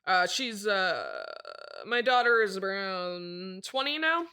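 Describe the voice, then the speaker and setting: An actress playing an abrasive, sardonic middle-aged horror writer in a comedy mock interview, blunt, deadpan and needling. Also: female voice, medium pitch, slow pace, clean tone, heavily acted. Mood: flatly dismissive. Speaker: interviewed guest.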